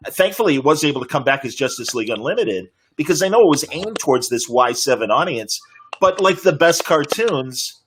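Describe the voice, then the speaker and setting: A man's voice: a little high, expressive, fairly quick, a bit bright, a little hiss or hum. A man moderating a panel in casual interview chat, talking like an enthusiastic fan. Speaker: panel moderator, podcast host.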